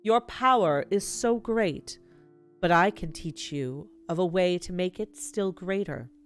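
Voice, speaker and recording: A woman voicing a mysterious old hooded man, a slow, solemn tempter from a fantasy game story. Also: lowish voice, faint music behind, close to an everyday voice. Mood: solemn, tempting.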